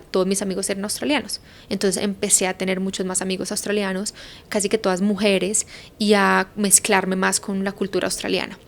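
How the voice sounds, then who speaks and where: Colombian woman, 30s, guest; relaxed reflective talk with gravelly fry, filler-heavy. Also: medium pitch. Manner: reflective, thinking aloud with many fillers. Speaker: podcast guest. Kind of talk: casual conversation.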